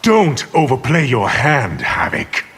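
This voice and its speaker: An actor voicing a cold, scheming, arrogant sorcerer in a video game, haughty and deliberate. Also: male voice, medium pitch, wildly swooping pitch, a bit bright, slightly rough, full character voice. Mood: scornful. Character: cold, scheming, arrogant male sorcerer, power-hungry villain. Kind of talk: video game villain dialogue.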